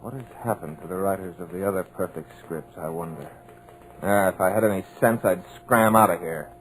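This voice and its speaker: An actor playing a scriptwriter who muses aloud with folksy, wry asides, in 1940s radio drama style. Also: male voice, low voice, fairly quick, a little hiss or hum, close to an everyday voice, rough recording. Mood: uneasy. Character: wisecracking young male scriptwriter, nervous underneath.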